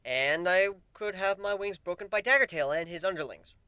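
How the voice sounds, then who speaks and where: A man's voice: sits high, moderate pace, bright, forceful tone, clean tone, no echo, pitched above the performer's own voice. A man voicing a weary, wry male pegasus who grows plants, in a dramatic fan fiction reading. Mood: resigned.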